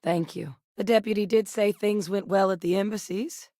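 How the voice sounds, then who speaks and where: An actress playing a steady, determined female military scientist in a video game, with a serious, matter-of-fact manner. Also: mid-range voice, moderate pace, no echo, clean studio sound, lightly acted. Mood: measured, serious.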